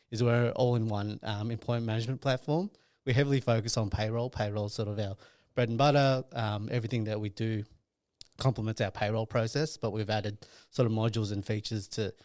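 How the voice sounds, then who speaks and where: Male podcast guest, fairly deep voice, relaxed phrasing in casual interview talk, very dry sound. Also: no room sound. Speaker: company sales and partnerships head, podcast guest.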